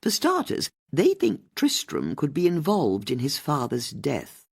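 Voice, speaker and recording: A woman's voice: low-pitched, wildly swooping pitch, unhurried, vocal fry, husky, pitched above the performer's own voice, exaggerated voice. A woman voicing a shrewd, chatty older English amateur sleuth, politely probing while sounding casual, in an audiobook mystery.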